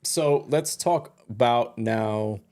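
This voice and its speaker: A man's voice: medium pitch, slow pace, darkish tone. Easygoing male podcast co-host. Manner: easygoing, backchannels a lot, jokes around. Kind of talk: casual chat.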